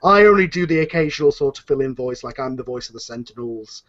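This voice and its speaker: A man's voice: medium pitch, animated, fast talker, a bit bright. Rambling enthusiastic man, muffled lo-fi audio. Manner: rambling, enthusiastic, many fillers and restarts. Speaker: writer of an audio drama series. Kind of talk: casual panel chat. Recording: muffled lo-fi recording.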